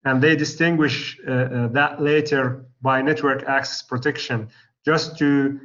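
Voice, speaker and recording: Hoarse lecturing man, halting delivery. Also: medium pitch, fairly even intonation, fairly bright tone. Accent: Arabic. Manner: halting, many filler sounds and restarts. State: losing his voice. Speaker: university lecturer. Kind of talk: classroom lecture. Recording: slightly dull lo-fi recording.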